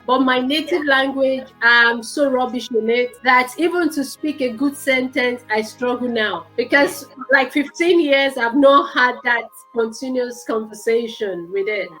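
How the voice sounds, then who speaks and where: High, bright-voiced woman from Nigeria. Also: poor audio. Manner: animated, laughs often, self-deprecating. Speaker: host. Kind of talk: casual chat.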